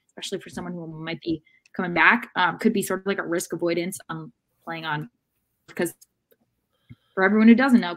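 A woman in her thirties co-hosting a casual soccer discussion over a video call from home. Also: fairly low pitch. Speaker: soccer podcast co-host. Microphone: video call microphone.

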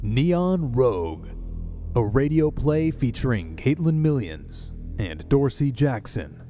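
Radio play announcer, male, unhurried and dark-toned, scripted titles. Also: medium pitch, very animated, soft background music.

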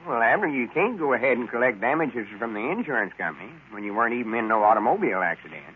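A man's voice: medium pitch, sing-song, fast talker, lightly acted. An actor playing a self-important, exasperated old rural man with a Southern American accent, grumbling in folksy 1930s radio comedy style. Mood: reasoning. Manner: folksy, grumbling, mildly pompous. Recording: scratchy, tinny 1930s radio broadcast.

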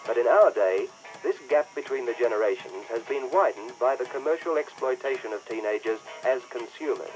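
A man's voice: medium pitch, poor audio. A man reading scripted documentary narration in an old recording.

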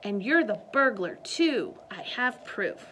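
A woman's voice: medium pitch, sing-song, slow pace, bright, forceful tone, a little hiss or hum, full character voice, low-quality recording. A woman voicing a determined young girl playing detective, speaking earnestly in a storybook reading. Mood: accusing.

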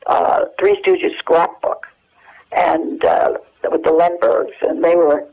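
An American woman in her eighties reminiscing casually and haltingly as an interview guest over the telephone. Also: on the low side, fairly even intonation, gravelly, soft background music. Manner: halting, searching for memories. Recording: dull, lo-fi telephone hook-up.

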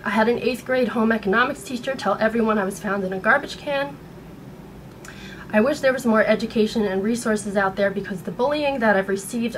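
An American woman in her thirties speaking in an earnest, halting way, upset and shaken, as in a personal vlog. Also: mid-range voice, rough recording. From United States. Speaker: vlogger. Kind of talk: personal video blog, partly reading aloud. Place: small bedroom at home. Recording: noticeable background room noise.

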